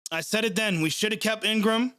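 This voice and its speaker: A young man reacts live to a game for his stream, his nose stuffed up from a cold. His voice sits fairly high, and he vents with sarcasm and frequent swearing. The sound is dry and close. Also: brisk, bright, forceful tone, no echo. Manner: frustrated, sarcastic, swears often. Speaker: sports channel host reacting live. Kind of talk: live play-by-play reaction stream, reading chat.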